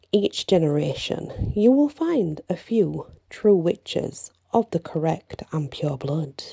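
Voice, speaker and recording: A woman softly reading a bedtime story in ASMR style, breathy and drifting into whispers, lingering between short phrases. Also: fairly low pitch, no room sound.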